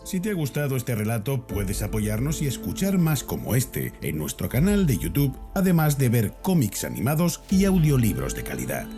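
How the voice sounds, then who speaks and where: A man's voice: medium pitch, wildly swooping pitch, normal speed, hardly any pauses. A man from reading a scripted promotional outro as a channel announcer. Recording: music bed behind voice.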